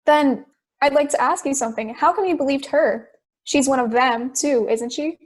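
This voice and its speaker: A woman playing a calm, doubting juror who keeps order, in an amateur audio drama. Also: high voice, normal speed, close to an everyday voice. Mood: questioning.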